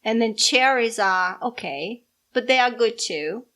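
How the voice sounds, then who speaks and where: A woman's voice: fairly high pitch, expressive, a little slow, sharp tone. A middle-aged Brazilian woman with a Brazilian accent, co-hosting a casual podcast with her daughter, playful and teasing. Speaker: podcast co-host, mother. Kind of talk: casual chat.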